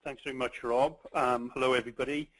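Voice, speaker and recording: A middle-aged man with a Welsh accent presenting a business webinar, pausing often with ums and restarting his sentences. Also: medium pitch, steady tone, a bit bright, dry recording, poor audio. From Wales. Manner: halting, many ums and restarts.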